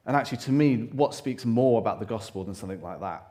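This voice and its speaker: A man with a loud voice preaching a sermon to a congregation, talking earnestly with frequent pauses and restarts. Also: on the low side, lively intonation. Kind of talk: church sermon. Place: church hall, live congregation. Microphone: handheld or stand microphone.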